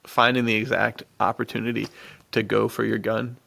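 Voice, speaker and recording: Male co-host, low-pitched with plenty of vocal fry, excitable, slangy and gushing casual chat. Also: normal speed. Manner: gushing, slangy, laughs often. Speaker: podcast co-host. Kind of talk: casual enthusiastic chat about action films.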